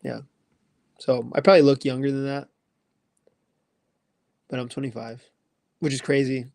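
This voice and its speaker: Gravelly-voiced young man, dry close sound. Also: medium pitch, flat delivery, normal speed, darkish tone, no room sound. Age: 20s. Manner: loose, rambling, filler-heavy. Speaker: livestream host answering chat. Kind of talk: casual chat.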